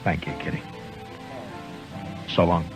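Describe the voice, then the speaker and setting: A man's voice: takes it slowly, lightly acted. An actor playing a stoic, weary lawman in a 1950s radio western, speaking and narrating in a terse, grave, restrained way. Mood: grim resolve. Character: stoic, weary, stubborn male Old West marshal. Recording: dull old radio broadcast with music.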